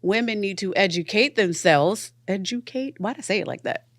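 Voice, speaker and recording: A woman's voice: medium pitch, animated, normal speed, dry recording, studio quality. A woman hosting a podcast, giving blunt opinions in casual debate and repeating phrases for emphasis. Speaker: podcast host.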